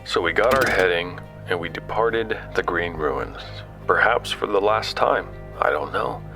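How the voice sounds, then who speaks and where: An actor playing a curious explorer in an audio drama, speaking conversationally and haltingly as he records his expedition. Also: low voice. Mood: reflective. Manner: halting, conversational, stumbles over words. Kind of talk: audio drama acting, hesitant recorded-log narration. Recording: music bed under the voice.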